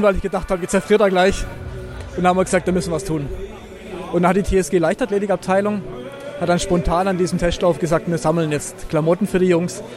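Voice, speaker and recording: Man, high pitch, event organizer, spontaneous interview answers, music in background. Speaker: race organizer being interviewed. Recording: outdoor event with music behind. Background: music and outdoor event sound.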